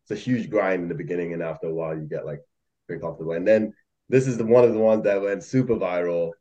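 Low-voiced young man, laid-back chatter. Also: fast, mellow, muffled recording. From Malaysia. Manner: rambling, laughs often, many fillers. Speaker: podcast guest, algo trader. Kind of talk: casual chat.

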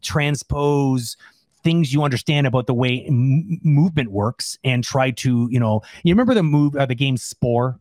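A man's voice: medium pitch, normal speed, few pauses, darkish tone, no echo. Casual, emphatic man in a dry room. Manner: rambling, emphatic, informal phrasing. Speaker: animator and Q&A co-host. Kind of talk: casual unscripted Q&A discussion.

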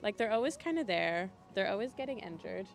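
A young adult woman talks as a podcast guest in an easy, conversational way. Her pitch sits in the middle for a woman, and her manner is chatty and self-deprecating. Faint music plays behind her. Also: very animated, a little fast, vocal fry. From Europe, mainly Switzerland, Belgium and Scandinavia. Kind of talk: casual conversational storytelling.

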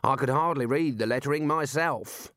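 A man's voice: mid-range voice, moderate pace, a bit bright, dry recording, clean studio sound, pitched above the performer's own voice, lightly acted. A man voicing a snappish, pedantic senior academic wizard in an audiobook. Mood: placating. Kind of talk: audiobook character voice.

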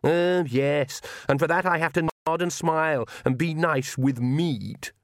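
A man voicing a petulant, sneering young female vampire in an audiobook, whining and sarcastic in drawn-out complaint. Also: fairly high pitch, no echo, clean studio sound, voice pushed up.

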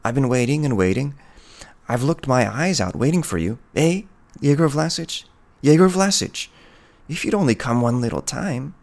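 A man voicing a shy, tender peasant woman of about thirty in a storybook, soft-spoken, wistful and pleading. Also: mid-range voice, expressive, normal speed, gravelly, voice pushed up.

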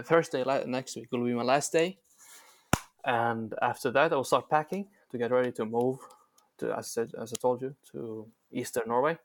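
A young man who speaks English as a second language joins a podcast as a guest. He talks casually but haltingly, pausing often to search for the next word. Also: medium pitch. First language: not English. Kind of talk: casual chat.

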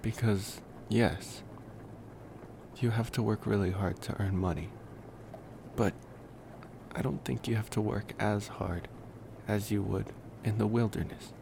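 A man playing a reflective, gentle young college vampire in an ASMR roleplay, musing softly and intimately to the listener. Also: on the low side, gravelly. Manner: musing, rambling, with pauses and sighs. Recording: noticeable steady background noise.

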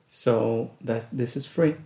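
Middle-aged father as podcast co-host, earnest and reflective, gravelly low tone, echoey room. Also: slow, mellow. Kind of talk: casual family chat.

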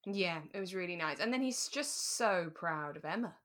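A woman's voice: on the low side, animated, normal speed, a loud crowd. A woman chatting casually as a podcast co-host, often laughing as she talks. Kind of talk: casual chat about a radio drama.

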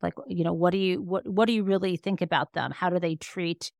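Low-voiced woman, emphatic expert guest. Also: steady tone, a little fast, creaky, darkish tone, dry recording, studio quality. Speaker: interview guest, law professor and author. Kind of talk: conversational expert explanation in a podcast interview.

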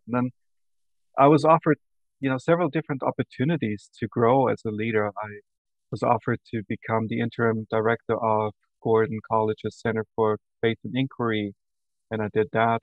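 A middle-aged man with an Austrian accent and German as his first language, chatting in an interview, warm and laughing easily with thoughtful pauses. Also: on the low side, fairly even intonation, dry recording. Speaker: guest. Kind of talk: casual interview chat. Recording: dull lo-fi audio.

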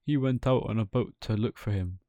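A man's voice: lowish voice, a little fast, mellow, no echo, natural voice. A man voicing a sympathetic, matter-of-fact dockside stranger in a children's story. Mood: musing, sympathetic.

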